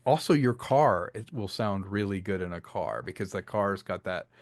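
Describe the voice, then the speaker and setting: A man's voice: on the low side, lively intonation, moderate pace, dry recording. Man chatting in a dry-sounding interview. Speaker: interview guest, audio drama creator and actor. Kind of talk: casual interview talk.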